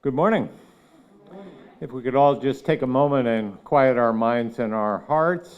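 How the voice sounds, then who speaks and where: A man leading a solemn opening reflection at a ceremony, speaking in a measured, reverent way that falls at the end of each phrase. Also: mid-range voice, clear, resonant tone, muffled recording. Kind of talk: solemn spoken prayer. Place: ceremony at a medical center, crowd gathered.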